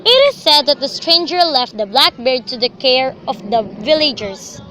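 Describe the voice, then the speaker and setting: Bright, high-voiced young woman narrating. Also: very animated, normal speed. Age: young adult. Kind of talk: scripted dramatic story narration. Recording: faintly noisy amateur recording.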